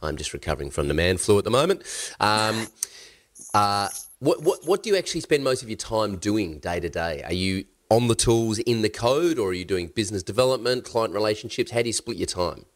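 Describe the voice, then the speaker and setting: A man's voice: lowish voice, animated, brisk. A man hosting an interview, sounding nasal while he recovers from a cold, asking questions with hesitant restarts. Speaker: podcast host.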